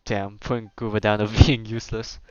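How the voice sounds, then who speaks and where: A game streamer giving casual live gameplay commentary in a relaxed, chatty style. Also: medium pitch, fairly quick, clear, resonant tone. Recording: dull lo-fi sound, game music behind. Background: game music.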